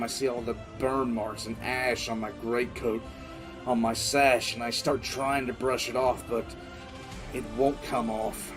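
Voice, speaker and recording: A man's voice: medium pitch, close to an everyday voice, rough recording. A man playing a grim, battle-weary military commissar, narrating dramatically in the first person for an audio drama.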